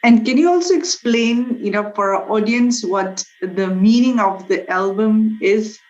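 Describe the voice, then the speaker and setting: A woman's voice: medium pitch, unhurried, a little mellow. A woman interviewing a guest in a conversational way, putting questions as the host. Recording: dull, echoey lo-fi sound.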